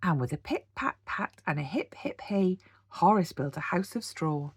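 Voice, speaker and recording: A British woman, a teacher, reading a children's storybook aloud in an animated bedtime-story style. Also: on the low side, moderate pace, husky, dry recording, studio quality. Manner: animated, with gasps and sound words.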